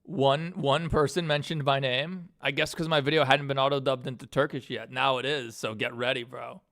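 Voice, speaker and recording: A man's voice: mid-range voice, moderate pace, no room sound, studio quality. New York man, casual slangy streamer. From Queens, New York. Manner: animated, slangy, swearing, joking. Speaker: streamer and animator. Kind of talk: casual reacting and chat while working.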